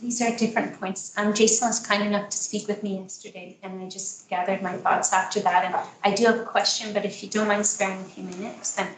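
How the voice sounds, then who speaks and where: A soft-voiced woman, a parent, reading a prepared statement at a school board meeting in an earnest, measured way. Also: mid-range voice, little pitch movement. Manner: earnest, measured, with frequent ums. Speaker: parent speaking at public meeting. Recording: echoey meeting room with faint noise.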